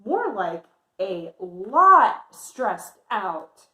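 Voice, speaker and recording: A woman voicing a stressed, discouraged, sulky schoolgirl from a storybook, with emphatic drawn-out delivery. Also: mid-range voice, big pitch swings, bright, forceful tone, muffled recording, pitched below the performer's own voice, exaggerated voice. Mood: upset, sulky. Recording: roomy, echoey indoor sound.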